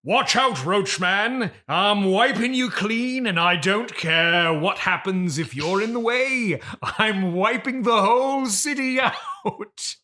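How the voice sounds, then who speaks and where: A man's voice: high-pitched, slow pace, few pauses, bright, forceful tone, heavily acted. A man playing a pompous, aggrieved classically trained stage actor with a theatrical, declaiming manner in a comedy interview. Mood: grandiose villainy.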